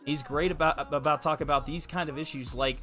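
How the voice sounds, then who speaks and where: Male podcast co-host, bright punchy voice on the higher side, slangy and emphatic, casual chat over faint music. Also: steady tone, fast.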